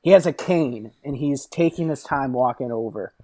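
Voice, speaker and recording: A man narrating an improvised scene casually, with a creaky voice, as a podcast improviser. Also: mid-range voice, moderate pace, warm tone, no echo.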